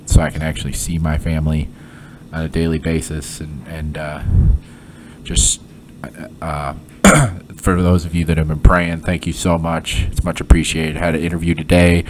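A man hosting a casual solo podcast, sick with a sinus cold and sounding nasal, talking in a halting way with lots of fillers and restarts. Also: a bass voice, little pitch movement, background noise. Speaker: podcast host; former head coach.

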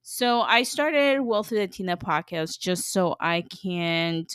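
A woman's voice: fairly low pitch, animated, slow pace, fairly bright tone, smooth, no room sound, studio quality. A woman from El Salvador hosting her own podcast, talking in an earnest, chatty way with plenty of fillers and restarts. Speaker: podcast host. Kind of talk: casual personal podcast monologue.

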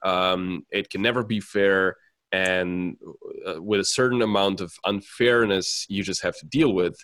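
A man from Serbia in casual conversation, talking in halting phrases with many fillers and restarts. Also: low-pitched, steady tone, a bit bright, no echo.

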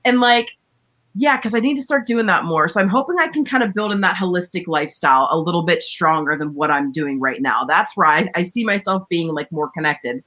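A laid-back woman in her thirties chats casually as a podcast co-host, her voice bright and forward. She talks in easygoing slang and laughs often. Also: brisk. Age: 30s. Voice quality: says her voice is deep. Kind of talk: casual chat.

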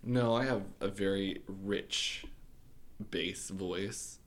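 A man co-hosting a casual podcast chat. He delivers playful, deadpan one-liners. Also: low voice, extremely slow. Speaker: podcast co-host.

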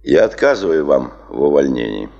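An actor in a Soviet radio drama playing a stubborn, ambitious male chief of a geological administration, speaking in a deliberate, formal and authoritative way. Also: very deep voice, big pitch swings, creaky, warm tone, lo-fi sound, heavily acted. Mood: firm, controlled.

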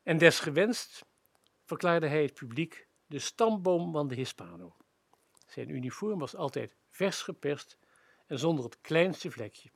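Male narrator for scripted first-person audio fiction, raspy with frequent vocal fry. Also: a little high, sing-song, a little slow, no echo. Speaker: radio play narrator.

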